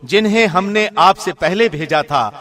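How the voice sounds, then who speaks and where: A man reading a scripture translation from a script in a formal, measured announcer's delivery. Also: high voice, animated, a bit bright. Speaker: translation reader, radio host.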